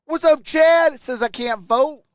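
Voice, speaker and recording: A man's voice: very high voice, unhurried, dry recording, studio quality. A man hosting a sports livestream, giving live play-by-play and chatting in short reactions.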